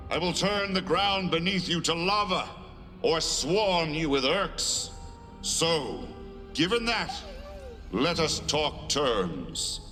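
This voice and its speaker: A man playing a calm, solemn otherworldly being in a video game cutscene, speaking with great deliberation. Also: on the high side, exaggerated voice. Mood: solemn, commanding. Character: calm, otherworldly being embodying a whole world. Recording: long reverb, music behind.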